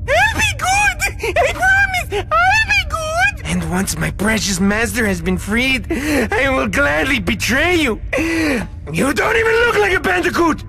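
A man voicing a childish, cowardly henchman with a shrill squeaky voice, shouting and whining in a video game. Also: very animated, a little slow, gravelly, pauses often, heavily acted. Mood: whiny. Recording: game music behind.

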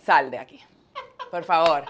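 A woman's voice: low voice, a little slow, heavy background noise. A woman in her twenties with a Venezuelan accent, telling stories casually as an interview guest on a lapel mic. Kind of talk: casual, chatty storytelling. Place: small indoor studio set.

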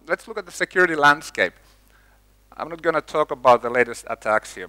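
A man's voice: medium pitch, sharp tone, dry recording, low-quality recording. A middle-aged man with a Finnish accent giving a conference talk on stage, speaking plainly and often restarting his words. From Finland. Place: conference hall stage with projector screen. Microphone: headset mic.

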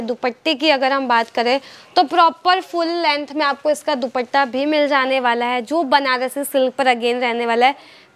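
A woman's voice: high voice, fairly bright tone. A young woman from India presenting clothes for a wholesale outlet in a fluent, upbeat sales patter, recorded indoors in a shop on a lapel mic. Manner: upbeat, fluent, repetitive sales patter mixing in English words. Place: indoor clothing store with stocked shelves.

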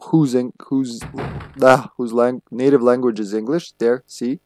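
A man's voice: medium pitch, steady tone, measured pace, dark tone, no room sound. A man, chatting casually and playfully with swearing as he streams a game. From Serbia. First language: Serbian. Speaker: video game livestreamer. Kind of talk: casual live gameplay commentary with chat.

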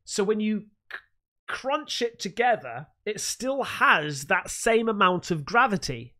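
A man's voice: high-pitched, sing-song, a little slow, bright, forceful tone. A man in his thirties casually reacting to clips on his stream, thinking aloud with restarts, recorded close on a studio mic in a small home room. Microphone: close studio mic with headphones.

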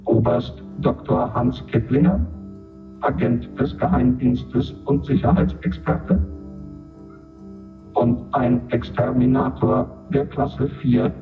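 Impersonal report reader, formal, clipped, tinny radio filter, music behind. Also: male voice, mid-range voice, fairly even intonation, takes it slowly. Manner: formal, clipped, matter-of-fact announcements. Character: impersonal official voice reading out reports and roll call. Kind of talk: scripted report in radio drama. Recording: tinny narrow band, distant, music behind.

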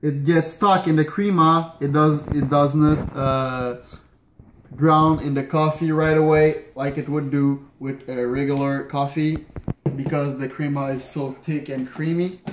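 A man's voice: a little high, fairly even intonation, slow pace. A man in his thirties chatting through a casual how-to demonstration in his home kitchen, hesitant with fillers and restarts, recorded by a camera on the counter. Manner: chatty, hesitant with fillers and restarts, moving about while working. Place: home kitchen indoors at night. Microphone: camera on the counter at a distance. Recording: echoey kitchen, faint room noise.

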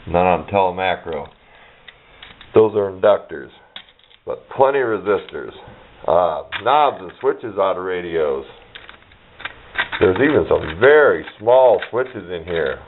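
A middle-aged man giving a casual, rambling show-and-tell in an offhand, folksy way, recorded on a handheld camera's built-in microphone. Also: low-pitched. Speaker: hobbyist showing a parts collection. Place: indoor workbench. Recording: muffled phone-like sound with hiss, clicks.

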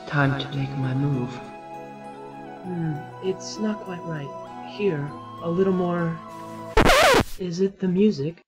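A man's voice: on the high side, slow pace, long pauses, dull audio, over music. A man voicing a vain, lecherous artist, smarmy and awkward, in a comedic anime parody dub. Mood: scheming.

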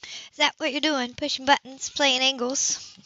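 Reader as an earnest, bewildered woman, higher-pitched. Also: little pitch movement, moderate pace, pushed and bright, dry recording. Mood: hesitant, probing. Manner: questioning, disbelieving. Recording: dull lo-fi home recording.

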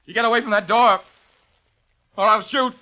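An actor playing a desperate, defiant armed fugitive, agitated and threatening, in 1950s radio drama style. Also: male voice, high voice, fairly even intonation, moderate pace, sharp tone. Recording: dull vintage 1950s radio recording.

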